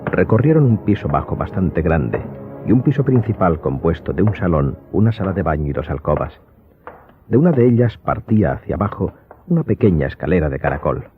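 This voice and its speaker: A man's voice: sits low, very animated, a little fast. A man narrating a scripted audio drama as its storyteller. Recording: muffled, band-limited audio with faint music.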